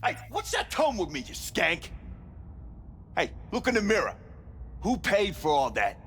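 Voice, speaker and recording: An actor playing a sleazy, bullying back-alley cyber-doctor in a game, sneering and volatile. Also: male voice, high-pitched, wildly swooping pitch, measured pace, fairly bright tone, soft background music, heavily acted. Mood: furious, bullying. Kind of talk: video game dialogue acting.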